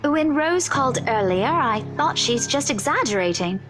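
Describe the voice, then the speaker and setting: A woman voicing a level-headed young woman who calmly questions her friend's story. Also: high-pitched, moderate pace, over music. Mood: reasoning. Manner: calm, reasoning.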